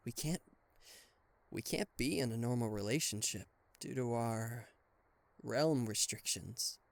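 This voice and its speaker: A man playing a charismatic, flustered king of hell as a boyfriend in an ASMR roleplay audio drama, with a theatrical, halting delivery. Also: medium pitch, gravelly, dry recording. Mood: tender, teasing. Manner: theatrical, hesitant stammering, exasperated sighs.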